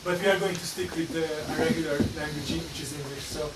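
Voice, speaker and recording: A man introducing a guest speaker at a lecture, joking and halting. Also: fairly high pitch, fairly even intonation. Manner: jokey, halting. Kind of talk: event introduction. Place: lecture room. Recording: echoey room, distant mic, loud hiss.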